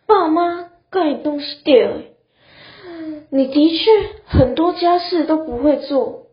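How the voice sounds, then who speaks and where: A woman's voice: high-pitched, measured pace. A teenage girl playing a whiny, coddled young husband, pleading melodramatically in an amateur radio drama. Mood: placating, tearful. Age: teens. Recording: muffled, roomy lo-fi recording.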